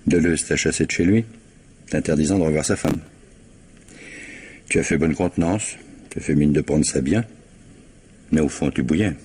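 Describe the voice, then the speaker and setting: An actor playing a calm, firm middle-aged police detective with a measured, ironic authority in a French mystery radio drama. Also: male voice, rumbling low, breathy edge. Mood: steady, pressing. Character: calm, firm male police detective, a worried woman's ex-husband. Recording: noisy vintage radio drama recording.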